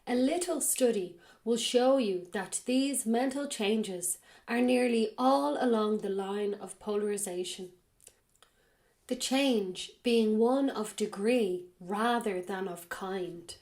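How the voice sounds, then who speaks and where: A woman hosting a podcast, reading a spiritual text aloud in a slow, measured way and then commenting on it, her voice a little raspy from a cold. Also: medium pitch, a little mellow. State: has a cold. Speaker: podcast host.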